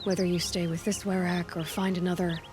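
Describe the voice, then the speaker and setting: An actress playing a determined young outlander hunter in a video game, direct and earnest. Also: female voice, lowish voice, flat delivery, fairly quick, lightly acted. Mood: concerned, earnest. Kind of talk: natural video game acting. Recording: music under the voice.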